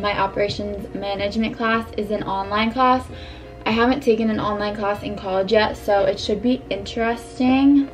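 Chatty young woman, lots of "like". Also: mid-range voice. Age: 20s. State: slightly losing her voice. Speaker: vlogger. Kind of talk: casual vlog chat to camera. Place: bedroom, indoors.

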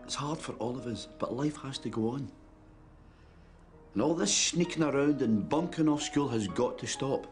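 A man's voice: medium pitch, normal speed, darkish tone, soft background music, close to an everyday voice. An actor playing a stern, grieving middle-aged British father in a naturalistic drama, scolding and pleading. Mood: gentle, weary. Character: stern, grieving, exasperated widowed father of teenagers. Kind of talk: naturalistic screen drama acting.

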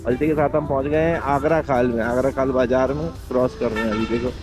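Male motovlogger, mid-pitched voice, casual running commentary to viewers, music and vehicle sound behind. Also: steady tone, fast talker. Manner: narrates surroundings while riding, addressing viewers. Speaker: travel motovlogger. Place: outdoors on a motorcycle on mountain roads.

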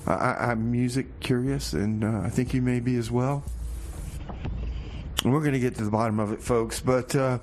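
Over clearly audible background noise, a man with a mid-pitched voice hosts a casual radio show. He talks in a folksy, halting way, delivering comedic patter as if in earnest. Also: steady tone.

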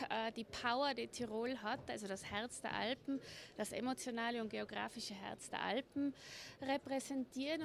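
A woman answering an interview in an indoor lounge, enthusiastic and pausing on fillers. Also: a little high. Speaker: tourism representative. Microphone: handheld mic.

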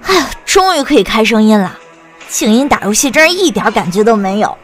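A woman playing a young wife who is a bubbly, nosy, excitable best friend in an audiobook drama. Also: fairly high pitch, a little fast, faint music behind. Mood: delighted. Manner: excitable, teasing, wheedling, exclamatory.

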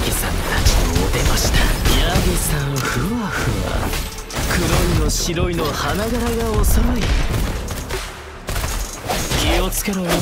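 A flamboyant young villain from a video game, taunting and theatrical. His fairly high voice swings widely in pitch as he draws out his words in a menacing, playful singsong. Loud music plays under him. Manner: taunting, drawn-out, singsong phrasing. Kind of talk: theatrical game dialogue and dark fable narration.

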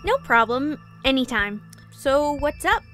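Woman voicing a chill friendly teen girl, high voice that bounces around, excitable. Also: measured pace, faint music behind. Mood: upbeat. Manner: slangy, excitable. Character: upbeat, casual teenage girl, friendly. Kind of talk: amateur comic dub acting.

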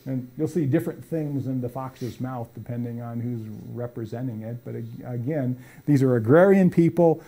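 A middle-aged man lectures to a room, his voice mellow and warm at an ordinary pitch. He talks in a loose, conversational way, full of ums and restarts, gesturing broadly. A lapel mic keeps him close and clear. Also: moderate pace. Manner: conversational, many fillers and restarts; gestures broadly while talking. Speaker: college lecturer. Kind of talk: public lecture with slides. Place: lecture room with brick walls.

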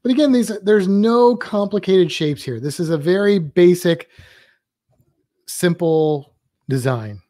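A man's voice: high-pitched, expressive, unhurried, warm tone, no room sound, studio quality. A man teaching drawing on a live stream, rambling loosely and thinking aloud while he sketches.

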